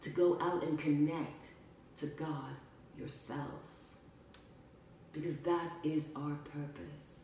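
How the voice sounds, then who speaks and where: A woman's voice: sits low, steady tone, slow pace, dark tone, a little hiss or hum. A woman from Canada hosting a podcast, speaking in an earnest, emphatic, preacher-like way as she delivers a motivational spiritual monologue. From Canada, with family from Jamaica. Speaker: podcast host. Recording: roomy echo, distant mic, music behind. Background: music.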